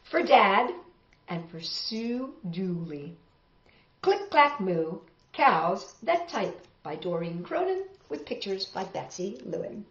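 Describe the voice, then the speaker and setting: A middle-aged woman hosting a children's storytime, reading a picture book theatrically and deliberately, with chatty asides to young listeners. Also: medium pitch, very animated. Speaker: storytime host. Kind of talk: animated children's storybook read-aloud. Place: small living room indoors. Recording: dull, roomy indoor webcam sound.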